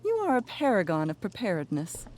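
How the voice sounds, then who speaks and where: A woman playing a polite, gentle middle-aged mother who hosts dinner in a video game, speaking courteously and properly. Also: fairly low pitch, big pitch swings, a little fast. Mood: courteous, pleasant. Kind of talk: naturalistic video game acting.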